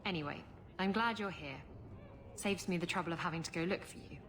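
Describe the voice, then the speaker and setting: An actress playing a brash, roguish pirate swindler in a video game, sassy and cheeky in manner. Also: female voice, fairly low pitch, fairly quick, fairly bright tone, slight background noise, close to an everyday voice. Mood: warm, wry.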